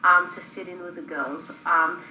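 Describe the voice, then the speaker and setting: Woman in her 30s, kinda hesitant, restarts a lot, lowish voice, echoey room. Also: steady tone, normal speed, a little hiss or hum. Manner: hesitant, restarts often, with soft agreeing hums. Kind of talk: casual conversation.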